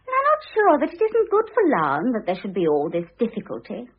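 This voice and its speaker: A woman's voice: on the high side, huge pitch swings, a little fast, breathy edge, exaggerated voice. An actress playing a young, vain, grasping society woman in a classic radio drama, theatrical and haughty. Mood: smug, breezy. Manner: theatrical, self-satisfied, haughty. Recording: muffled phone-like old radio recording.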